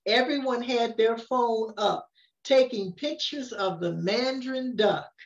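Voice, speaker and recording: An older woman reading a picture book aloud in an expressive storytelling manner, with dramatic emphasis. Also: a little high, slow, dull audio. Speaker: story reader. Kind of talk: picture-book read-aloud with casual asides.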